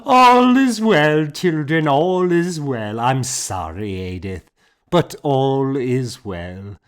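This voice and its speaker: A merry, easygoing woman in a historical audiobook, put on by a male reader. The voice rides high above his narration and sounds cheerful. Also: animated, slow. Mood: merry relief.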